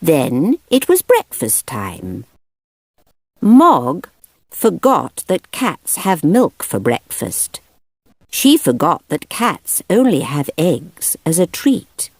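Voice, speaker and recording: Slow, low-voiced woman reading a children's story. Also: wildly swooping pitch, a little mellow, slightly rough, dry recording. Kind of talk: read-aloud of a picture book.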